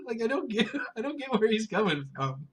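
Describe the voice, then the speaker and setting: A man bantering in an amused, jokey way as a podcast co-host. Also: extremely high pitch. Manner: jokey, amused, quick to laugh. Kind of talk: casual chat, bantering. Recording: faint noise, captured podcast audio.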